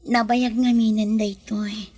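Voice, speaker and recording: An actress plays an ailing, gentle lady of the city with a Filipino accent. Her voice sits somewhat high. She speaks softly and thoughtfully to her helpers in a radio-style drama. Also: level tone, a little slow. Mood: gentle, reflective. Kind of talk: Ilocano audio drama acting.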